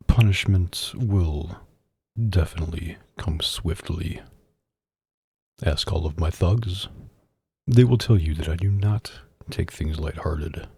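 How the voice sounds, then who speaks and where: Mafia boss, male actor: dark, gravelly tone, stern and possessive, controlled menace, intimate ASMR delivery in a dry close space. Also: low voice, no echo, full character voice. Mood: stern, threatening. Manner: slow, stern, menacing and controlled, with long pauses. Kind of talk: ASMR roleplay, intimate address to the listener.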